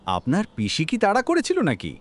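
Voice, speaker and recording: A man voicing a nosy, knowing village shopkeeper in an animated horror story. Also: high voice, normal speed, full character voice. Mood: nosy, teasing.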